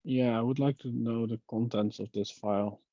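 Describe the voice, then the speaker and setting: A man's voice: mid-range voice, steady tone, normal speed, warm tone, dry recording. A man talking casually through a technical problem on an online call, muttering as he thinks aloud. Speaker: software engineer in a working group call. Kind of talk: casual technical troubleshooting talk. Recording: dull, lo-fi call audio.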